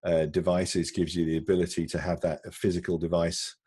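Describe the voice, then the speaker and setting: Very deep-voiced, mellow man, halting delivery. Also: little pitch movement, breathy edge, dry recording, studio quality. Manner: halting, repeats words, frequent ums. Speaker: interviewee, broadcast technology executive. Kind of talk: conversational video interview. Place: remote video call. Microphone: video call.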